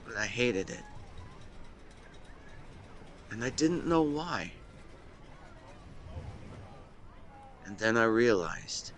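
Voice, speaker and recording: A young man playing a lovestruck twenty-four-year-old confessing to his best friend, speaking earnestly and haltingly in an intimate romantic audio roleplay. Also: medium pitch, expressive, fairly bright tone, a little hiss or hum. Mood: pained, earnest. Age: young adult. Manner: earnest, tender, halting phrasing. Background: seaside boardwalk ambience effects.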